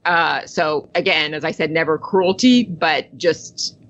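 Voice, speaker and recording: A woman's voice: sits low, steady tone, a little slow, a bit bright. A woman in her sixties, born in Italy and raised in the United States, telling her family story as an interview guest and laughing often. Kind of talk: conversational interview. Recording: slightly dull lo-fi audio.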